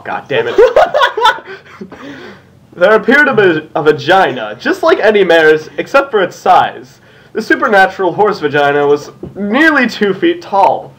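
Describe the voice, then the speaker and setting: A man's voice: high-pitched, fairly bright tone. A man casually reading a story aloud for comedy, often breaking into giggles as he goes. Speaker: co-reader of a fan fiction reading show.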